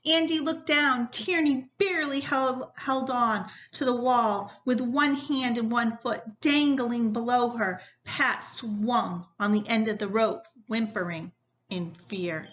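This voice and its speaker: A woman with a fairly high, very bright voice reads a story aloud in the manner of a teacher. She takes her time, and the recording is slightly dull. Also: slow pace. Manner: instructive, addressing pupils directly.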